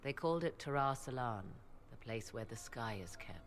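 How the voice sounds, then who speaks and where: An actress playing a proud, enigmatic sorceress mother in a fantasy game, speaking formal, archaic English with a measured, haughty manner. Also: very deep voice, animated, slight background noise. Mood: solemn. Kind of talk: dramatic fantasy game dialogue.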